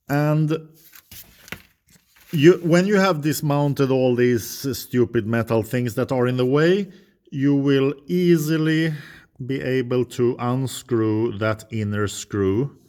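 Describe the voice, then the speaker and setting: Swedish DIY mechanic, unhurried and halting, mid-pitched man. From Sweden. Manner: slow, halting, thinking aloud while working. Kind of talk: hands-on repair tutorial.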